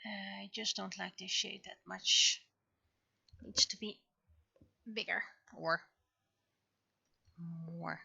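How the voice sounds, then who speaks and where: A woman livestreamer casually musing aloud in short, halting phrases as she comments on her art. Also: medium pitch, steady tone, creaky, pushed and bright, breathy edge. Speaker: livestreamer, webcomic artist.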